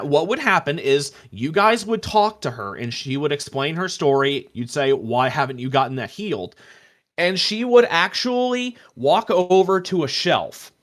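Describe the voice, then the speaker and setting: A man's voice: on the high side, fairly bright tone. A man co-hosting a casual discussion of tabletop roleplaying, telling stories in an earnest, emphatic way. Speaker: podcast co-host.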